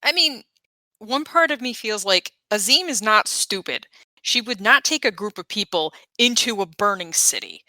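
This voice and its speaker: A woman's voice: mid-range voice, sing-song, moderate pace, bright, forceful tone, no room sound. A woman talking casually as a player in a tabletop roleplaying game.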